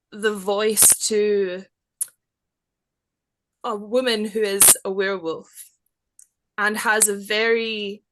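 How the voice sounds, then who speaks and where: A woman speaks as a poet presenting her work, at a distinctly slow pace and a medium pitch. Her phrasing is deliberate and literary. The recording carries clear noise. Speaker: poet reading own work. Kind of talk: measured poetry reading and reflective explanation.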